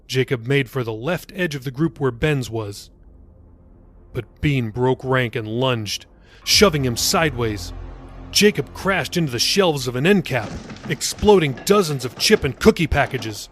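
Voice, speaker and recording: A man's voice: medium pitch, expressive, measured pace, a bit bright. A man reading his own novel aloud as dramatic, scripted audiobook narration. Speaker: author reading own novel, podcast host. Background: faint music.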